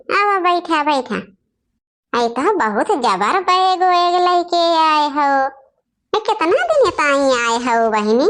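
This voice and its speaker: Casting: actress playing rural cartoon sister-in-law, very high voice, Awadhi accent, slow complaining singsong. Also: female voice, fairly bright tone, heavily acted. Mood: welcoming, chatty. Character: bickering village women, sisters-in-law in a rural household. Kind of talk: Awadhi family comedy cartoon dialogue.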